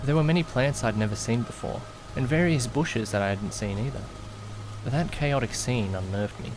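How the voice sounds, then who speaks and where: A man's voice: medium pitch, normal speed, noisy background, close to an everyday voice. A man playing a nervous, well-meaning young man who narrates his own story in an audio drama, earnest and anxious. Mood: earnest, uneasy. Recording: loud music behind the voice. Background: background music.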